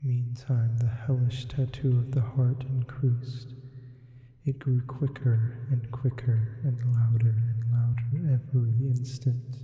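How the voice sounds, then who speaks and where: A man voicing a sinister sleep paralysis demon, reading a Gothic horror story as hushed, deliberate ASMR with whispers and added reverb. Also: mid-range voice. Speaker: ASMR narrator. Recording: muffled, heavy long reverb.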